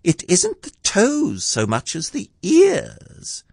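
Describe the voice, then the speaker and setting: A man voicing a small, timid, anxious piglet in a children's storybook, squeaky and hesitant, with a stammer. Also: huge pitch swings, slow, gravelly, darkish tone, breathy edge, no echo, clean studio sound, exaggerated voice. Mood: solemn. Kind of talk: children's storybook character reading.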